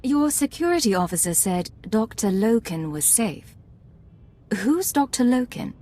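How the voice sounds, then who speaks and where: A composed, clipped secret agent. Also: female voice, a little high, big pitch swings, a little slow, dark tone. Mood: firm, probing. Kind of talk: dramatic game dialogue.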